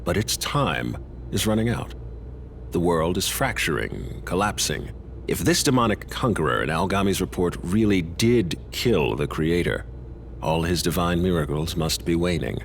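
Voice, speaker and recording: A gravelly, grave middle-aged leader. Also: male voice, low voice, expressive, a little hiss or hum. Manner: grave, deliberate, authoritative. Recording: game music faint behind.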